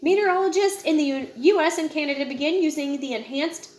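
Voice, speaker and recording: High-pitched woman reading aloud. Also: a little fast, a little mellow. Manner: informal, stumbles over words and corrects herself. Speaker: read-aloud presenter. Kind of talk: reading a nonfiction book aloud with casual asides.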